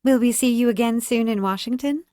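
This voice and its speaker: A woman voicing a well-bred young society woman, warm and poised, with deliberate, genteel speech in a storybook reading. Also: a little high, dry recording, studio quality, pitched above the performer's own voice, natural voice. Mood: warm, admiring.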